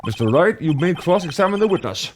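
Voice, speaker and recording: A man's voice: high-pitched, sing-song, fast, darkish tone, a little hiss or hum. A man voicing a ponderous, gullible older male judge with a formal manner in a video game reading. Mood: solemn.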